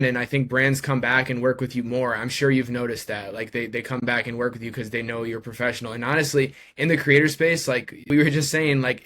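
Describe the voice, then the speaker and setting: A man interviewing someone in a relaxed, conversational way. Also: medium pitch. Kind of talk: casual interview chat.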